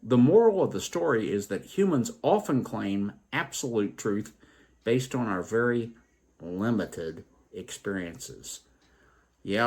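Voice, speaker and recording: A man hosting a story program, telling tales and reflecting on their morals in a deliberate, emphatic way. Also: on the low side, a little mellow. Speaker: storytelling show host.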